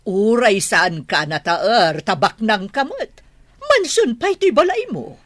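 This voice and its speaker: Woman as insistent older mother, animated delivery. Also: medium pitch, slow pace, no echo, full character voice. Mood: nagging. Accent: Filipino. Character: older mother nagging her grown son to marry. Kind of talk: amateur audio drama acting.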